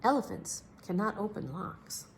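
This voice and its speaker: Self-assured male sleuth for a children's story, read by a woman, courteous, thoughtful, expressive pitch. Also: moderate pace, slight background noise, close to an everyday voice. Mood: musing. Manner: musing, assured, polite. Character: calm, confident male great detective.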